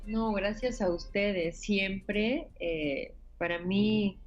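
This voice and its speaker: A woman's voice: medium pitch, fairly even intonation, very slow, soft background music. A woman from Spain with a Mexican accent, an actress guest in a radio interview, speaking emotionally and hesitantly with many fillers. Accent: Mexican Spanish. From Spain (Granada). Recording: muffled lo-fi recording.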